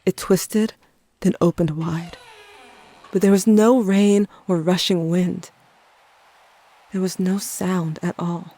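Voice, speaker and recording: A performer playing a young teenage narrator telling a haunted story in a measured, scripted audio-drama style. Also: medium pitch, steady tone, mellow. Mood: solemn, haunted. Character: young Cain, grieving, watchful older teenage narrator.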